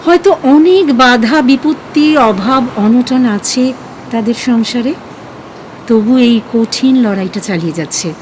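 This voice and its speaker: A woman narrating a scripted audio story in an expressive, emotive, dramatic way. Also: fairly high pitch, unhurried, slight background noise, rough recording.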